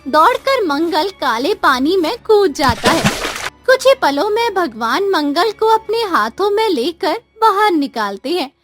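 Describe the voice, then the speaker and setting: A woman's voice: extremely high pitch, sing-song, a little slow, fairly bright tone, faint music behind. A woman as narrator, reading a scripted moral story for a cartoon.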